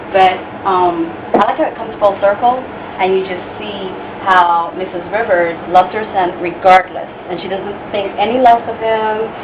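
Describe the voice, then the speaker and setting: A woman giving a casual, earnest and candid interview answer as a cast member. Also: lowish voice. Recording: echoey, distant, dull, noisy room sound.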